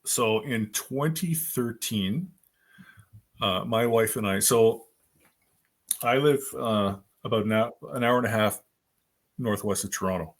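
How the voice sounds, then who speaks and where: A man in his fifties, a guest author, telling his stories in a rambling, animated way with lots of fillers. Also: on the low side, a little slow, pauses often. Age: 50s. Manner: animated, rambling storyteller with many fillers and restarts. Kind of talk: casual storytelling in a podcast interview.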